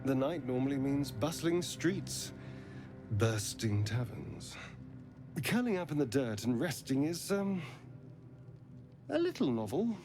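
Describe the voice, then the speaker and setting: An urbane game adventurer who takes his time over every phrase. He is dry, wry and a little uneasy, mid-pitched, with faint music behind him. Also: sing-song, slow, pauses often. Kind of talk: video game character acting.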